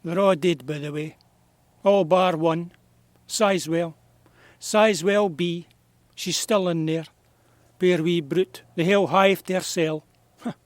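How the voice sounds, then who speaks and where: An actor playing a stubborn, wistful old beekeeper with a Scottish accent and a folksy, hesitant way of talking in an audio drama. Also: male voice, sits high, fairly even intonation, slow, pauses often, no echo. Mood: forlorn. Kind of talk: naturalistic audio drama dialogue.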